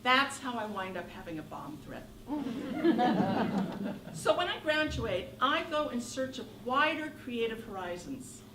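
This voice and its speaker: A woman in her sixties from Northern California telling a wry, animated comic monologue on a theater stage. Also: fairly high pitch, takes it slowly. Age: 60s. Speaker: solo performer. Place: theater stage with live audience. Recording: echoey room from far off, noisy.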